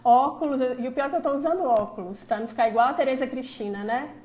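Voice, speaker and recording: A woman's voice: high-pitched, fast talker, a little mellow. A woman from Fortaleza with a Northeastern Brazilian accent, hosting a casual live stream on her phone with many chatty asides. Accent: Northeastern Brazilian (Ceará). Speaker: host, labor prosecutor.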